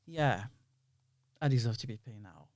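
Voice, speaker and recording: A man's voice: mid-range voice, expressive, moderate pace, dry recording, clean studio sound. Reflective male podcast guest. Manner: rambling, reflective, quotes imagined dialogue. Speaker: interview guest, filmmaker. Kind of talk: casual podcast interview.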